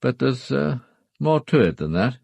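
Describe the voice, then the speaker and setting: An actor playing a formal, upper-class British knight in a radio drama, speaking in a clipped, matter-of-fact way. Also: male voice, medium pitch, big pitch swings, moderate pace, creaky, a little mellow, husky, no room sound, full character voice. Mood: grave, explaining. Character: formal upper-class husband, a titled knight hiring a detective.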